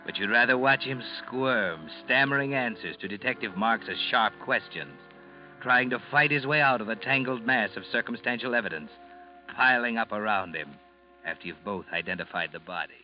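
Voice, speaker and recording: An actor playing a sardonic, taunting narrator who speaks straight to the heroine in an ominous, dramatic old-time radio style. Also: male voice, medium pitch, normal speed, soft background music, lightly acted. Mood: sly, taunting. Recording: muffled old radio recording.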